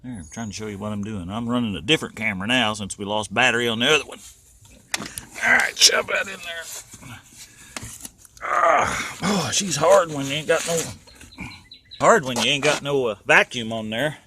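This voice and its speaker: A man's voice: mid-range voice, animated, normal speed, long pauses, background noise. An American man with a folksy, rambling, colloquial way of talking, giving casual hands-on commentary as he does DIY car repairs.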